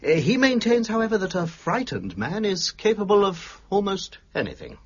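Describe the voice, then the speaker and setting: An actor playing a downtrodden, weary police inspector in an audio drama, hesitant and politely deferential. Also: male voice, high voice, big pitch swings, moderate pace, slightly rough, highs cut off, heavily acted. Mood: tentative. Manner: hesitant, polite, tentatively contradicting.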